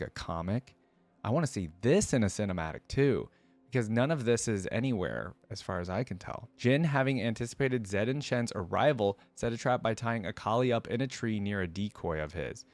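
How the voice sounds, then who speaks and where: A man hosting a reaction video, reading aloud and breaking in with casual, curious commentary as he thinks out loud. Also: fairly low pitch, dry recording. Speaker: host of a lore reaction video.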